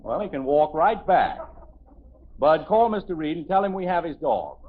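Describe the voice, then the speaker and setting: A man's voice: on the high side, very animated, moderate pace. A man playing an exasperated middle-aged father, stern, flustered and sarcastic, in a 1950s radio sitcom. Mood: firm, sarcastic. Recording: dull, noisy 1950s radio recording.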